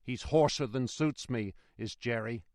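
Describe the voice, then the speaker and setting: Gruff male coach guard, acted by a male narrator, blunt, suspicious, challenging, storybook character, dry recording. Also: mid-range voice, a little slow, darkish tone, no echo, lightly acted. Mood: grumbling suspicion. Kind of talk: dramatised storybook reading.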